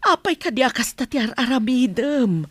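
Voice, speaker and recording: A woman's voice: high-pitched, expressive, moderate pace, dry recording, clean studio sound. A woman playing a respectful, earnest household maid in an Ilocano radio drama, deferential in manner. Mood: worried, reproving. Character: respectful, anxious female household maid, Nana.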